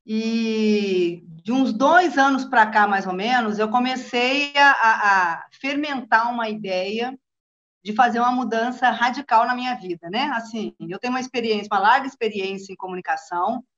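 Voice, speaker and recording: Middle aged brazilian woman from the south, bit high voice, chatting about herself as a guest, dull lofi call sound. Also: normal speed, a bit bright. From Rio Grande do Sul, southern Brazil. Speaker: guest, journalist. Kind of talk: casual personal account in an interview.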